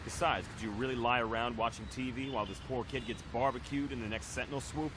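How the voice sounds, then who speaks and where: An actor playing an earnest young team leader giving urgent commands. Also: male voice, medium pitch, fairly even intonation, quick pace, noisy background, lightly acted. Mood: commanding. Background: music score.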